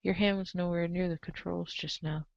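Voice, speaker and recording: A woman narrating a comic story aloud in an expressive read-aloud style, on a slightly dull recording. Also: lowish voice, normal speed, creaky, no room sound. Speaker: narrator of a group webcomic reading.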